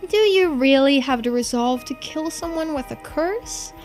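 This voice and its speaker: A woman's voice: sits high, lively intonation, unhurried, a little mellow, voice pushed up. A woman voicing a scheming female video game villain who feigns sweet innocence, in a taunting, coy manner. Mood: coy, probing. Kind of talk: video game character dialogue read-aloud. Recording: music behind the voice.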